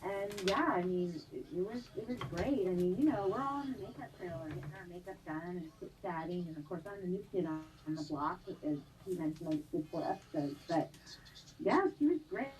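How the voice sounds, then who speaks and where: A mellow-voiced woman chats eagerly as a guest actress, laughing through her stories. Her voice sounds set back from the mic, with faint noise behind it. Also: fairly low pitch, brisk, recorded from a distance, rough recording. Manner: animated, chatty, laughs often. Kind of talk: live-stream interview chat.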